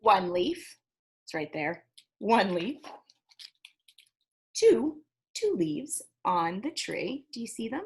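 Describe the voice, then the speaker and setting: A woman's voice: medium pitch, very animated, takes it slowly, pauses often, darkish tone. A woman hosting a children's storytime, reading aloud and counting in a singsong, encouraging way for young listeners. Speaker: children's library storytime host.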